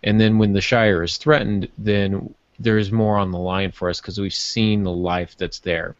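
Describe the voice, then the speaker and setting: A man's voice: fairly low pitch, level tone, normal speed, dry recording, dull audio. A man co-hosting a podcast in casual chat, bantering and dropping in asides as he makes his point. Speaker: podcast co-host.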